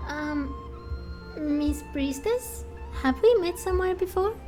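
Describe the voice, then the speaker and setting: Small childlike game fairy played by a woman: very high voice, excitable, doubting, always questioning. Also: takes it slowly, over music. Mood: confused. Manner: third-person self-reference, exclaiming, questioning.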